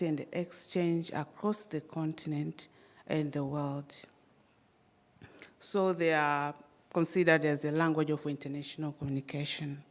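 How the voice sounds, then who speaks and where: Slow, low-voiced woman from Tanzania, first language Swahili; academic conference speaker, measured and hesitant, many fillers. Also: a little mellow. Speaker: conference presenter. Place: conference hall.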